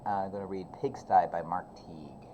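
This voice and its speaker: A man's voice: deep voice, moderate pace, warm tone. A man reading a children's storybook aloud expressively like a teacher, on a slightly dull, noisy recording. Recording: slightly dull, faint background noise.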